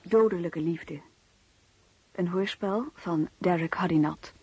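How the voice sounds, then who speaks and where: Slow, measured female announcer. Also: fairly low pitch, mellow, slightly rough, poor audio. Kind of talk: scripted radio drama title announcement.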